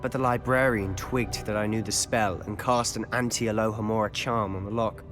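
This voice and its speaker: A man's voice: fairly low pitch, steady tone, normal speed, music in the background, lightly acted. A man voicing a confident, sly teenage wizard in a video game, with a British accent and a wry, conspiratorial manner. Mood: reassuring. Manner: conspiratorial, wry, self-assured. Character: confident, sly teenage schoolboy wizard, loyal rule-breaker.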